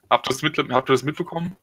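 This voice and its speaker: A man's voice: on the high side, fairly quick, bright, forceful tone, slight background noise. A man in a casual satirical group discussion, often stammering and repeating words as he builds his point. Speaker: panelist on a live talk show.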